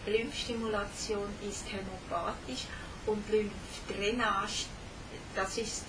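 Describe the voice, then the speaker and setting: A woman answering in German, speaking slowly in short, plain statements. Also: medium pitch, steady tone. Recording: distant and echoey with loud noise.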